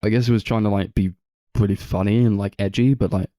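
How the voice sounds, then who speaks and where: A man's voice: fairly low pitch, steady tone, fast, dark tone, no echo, clean studio sound. A man chatting casually about films as a podcast co-host. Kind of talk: casual film discussion.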